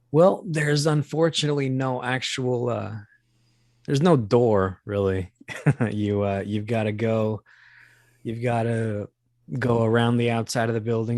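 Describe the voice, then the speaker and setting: Guy with a kinda low voice, hesitant, jokey, chatting as a guest, pretty dry recording. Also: a little mellow, no echo. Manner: hesitant, halting, wry and joking. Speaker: voice actor, interview guest. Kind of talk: casual interview chat.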